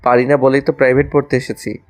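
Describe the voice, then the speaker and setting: একজন পুরুষের অভিনয়ে দুষ্টু, রোমান্টিক কলেজপড়ুয়া ছেলে, পেছনে বাজনা. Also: male voice, mid-range voice, little pitch movement, quick pace, soft background music, lightly acted. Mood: playful, mock-innocent. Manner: playful, mock-innocent, teasing. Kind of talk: audio romance story acting.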